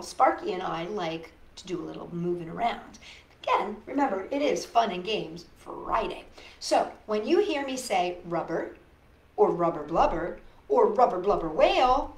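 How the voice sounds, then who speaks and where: A woman hosting a storytime for young children, speaking and reading in a playful, sing-song manner and often putting questions to her listeners. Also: medium pitch. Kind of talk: reading picture books aloud and chatting to young children. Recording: roomy echo.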